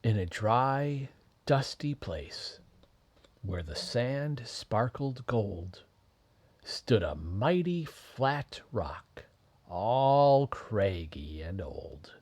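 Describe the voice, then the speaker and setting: Very animated, slow-paced male storyteller. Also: fairly low pitch. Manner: dramatic, with drawn-out phrasing and hushed dips. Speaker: father reading a bedtime story. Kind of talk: expressive children's storybook narration.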